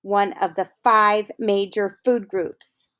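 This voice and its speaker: A woman's voice: steady tone, slow pace, lo-fi sound, close to an everyday voice. A woman voicing a frank, friendly schoolgirl with a wry, knowing manner. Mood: teasing. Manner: wry, knowing, raised pitch.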